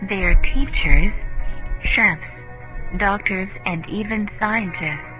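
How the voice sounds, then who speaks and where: Lady doing a sugary ad announcer for robot moms, slow and lilting, music under it. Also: mid-range voice, natural voice, rough recording. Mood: sing-song. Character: cheery female announcer selling robot mothers. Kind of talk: commercial voice-over.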